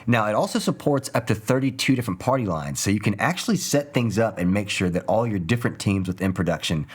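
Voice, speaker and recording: Fast-talking male presenter, low voice. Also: lively intonation, breathy edge. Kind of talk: scripted promotional narration.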